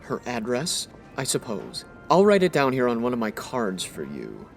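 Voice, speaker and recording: A woman's voice: very deep voice, wildly swooping pitch, moderate pace, gravelly, faint music behind, exaggerated voice. A woman playing a curt, sarcastic veteran department store clerk in a comedy audio drama, haughty and dry. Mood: curt, irritated.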